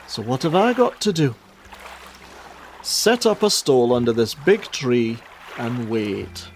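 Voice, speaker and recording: A man's voice: medium pitch, expressive, slow pace, a little hiss or hum. A man playing a grumbling, henpecked husband hawking fish on a beach in an amateur radio play.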